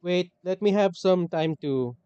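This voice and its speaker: A man's voice: sits high, a little slow, mellow, clean tone, dry recording, muffled recording. A man livestreaming a game, reacting casually and hesitantly, repeating phrases and breaking into exclamations. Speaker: streamer.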